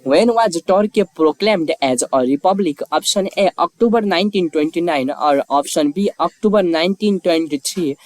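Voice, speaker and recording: A man's voice: fairly high pitch, normal speed, few pauses, no room sound, low-quality recording. A man reading scripted quiz questions and lettered answer options as a narrator.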